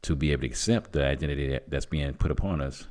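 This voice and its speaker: A man in his fifties with an extremely low-pitched voice talks through his views in a podcast discussion. His manner is earnest and encouraging, and the delivery is conversational. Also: fast, darkish tone. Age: 50s. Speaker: podcast host.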